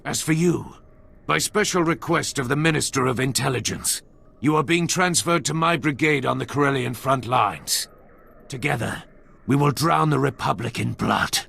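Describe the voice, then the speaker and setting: Ruthless Sith warlord, video game villain, very gravelly, hoarse-edged rasp, imperious, deliberate, cold menace. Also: male voice, medium pitch, pushed and bright, heavily acted. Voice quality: raspy, gravelly. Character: cold menacing male Sith lord, a ruthless military commander.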